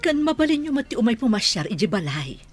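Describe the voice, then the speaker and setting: A woman's voice: fairly high pitch, big pitch swings, fast talker. A woman acting an anxious, affectionate older village mother in an Ilocano radio drama, with an Ilocano accent. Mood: eager, warm.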